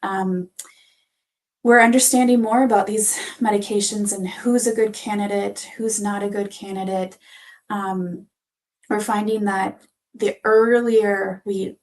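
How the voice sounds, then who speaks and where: Woman explaining carefully, creaky voice. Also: mid-range voice, fairly even intonation, measured pace. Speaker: physician assistant guest. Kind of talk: explanatory interview answers.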